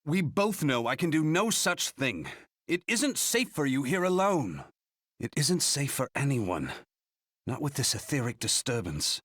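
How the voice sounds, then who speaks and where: An actor playing a wry, gallant protector in a fantasy video game, with a courtly, dryly witty way of talking. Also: male voice, medium pitch, sing-song, a little slow, a bit bright, husky, no room sound, studio quality, heavily acted. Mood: wry, concerned. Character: wry, gallant male protector of a young noblewoman. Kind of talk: scripted video game cutscene acting.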